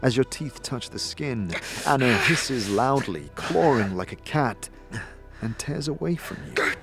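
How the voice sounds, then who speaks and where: A man narrating a dramatic second-person story as a game master, in a measured, deliberate, atmospheric manner. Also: medium pitch, animated, a bit bright. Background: music.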